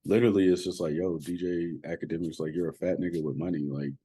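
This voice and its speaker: A man's voice: sits low, fairly even intonation, fast, dark tone, slightly rough. A young man co-hosting a podcast, talking bluntly and informally, full of slang. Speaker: podcast co-host. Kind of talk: casual commentary chat.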